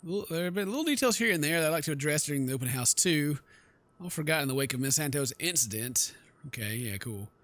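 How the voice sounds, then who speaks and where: A man's voice: a little high, lively intonation, fast, a bit bright. A man hosting a game playthrough, reading the text aloud and commenting in a joking, exclamatory, informal way. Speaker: gaming video host.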